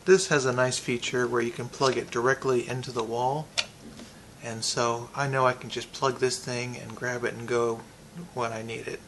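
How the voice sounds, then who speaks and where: A man giving a casual, unscripted product review, thinking aloud in a halting way with pauses. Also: medium pitch, little pitch movement, a bit bright, a little hiss or hum.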